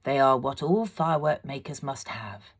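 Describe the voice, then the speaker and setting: A gentle old storybook father, voiced by a woman, low in pitch. He speaks tenderly and earnestly, with measured care. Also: animated, slightly rough, full character voice. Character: gentle, loving old father, a master firework maker.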